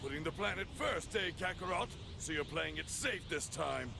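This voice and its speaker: An actor playing a proud, gruff warrior rival in an anime video game, gravelly, haughty and terse. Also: male voice, on the high side, animated, normal speed, noisy background. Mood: stern, skeptical. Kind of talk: English anime game dub acting. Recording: music behind.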